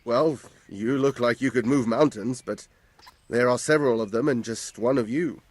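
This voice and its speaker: An actor playing a put-upon medieval village tanner in a video game, complaining bitterly in colourful terms. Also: male voice, medium pitch, moderate pace, dry recording, close to an everyday voice. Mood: doubtful, wry. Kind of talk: video game dialogue acting.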